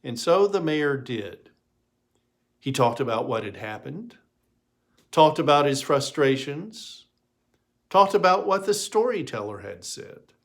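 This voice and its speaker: A man reading a story aloud in an expressive storybook narration style. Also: a little high, slow pace, pauses often.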